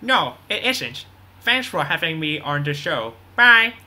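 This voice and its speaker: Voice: mid-range voice, big pitch swings, a little slow, bright, forceful tone, slight background noise, heavily acted. A performer playing a brash, unrepentant drug boss on the run in a radio drama, boastful and dismissive. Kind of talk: scripted radio drama interview.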